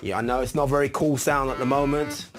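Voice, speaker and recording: A man's voice: medium pitch, fairly even intonation, fast, music in the background. A man giving an informal, chatty tutorial as a musician, explaining a piece of gear step by step. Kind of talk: casual instructional demonstration.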